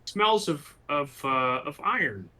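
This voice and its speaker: A man's voice: a little high, big pitch swings, slow, bright, forceful tone. A man talking casually as a player in a tabletop role-playing game, often repeating himself as he goes.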